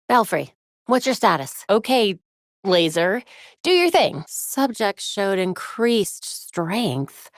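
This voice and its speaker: Young video game heroine, American accent, wry, determined, crisp, conversational, dry studio sound. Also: female voice, on the low side, no room sound. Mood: focused. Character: young crime-fighting superheroine, dry-witted, determined, brisk. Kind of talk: scripted video game voice lines. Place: recording studio.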